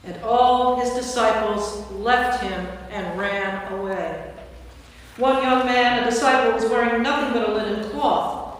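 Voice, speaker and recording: A woman's voice: a little high, measured pace. Echoing woman narrating scripture. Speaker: narrator, priest. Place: church, recorded from a distance. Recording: very echoey church, distant mic, faint noise.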